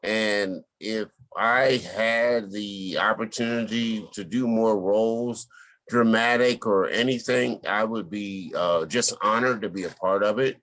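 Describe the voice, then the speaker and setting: A man, an artist and stage performer, speaking informally in an interview in a halting, deliberate way with many pauses. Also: lowish voice, a bit bright, low-quality recording. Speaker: stage play cast member, artist.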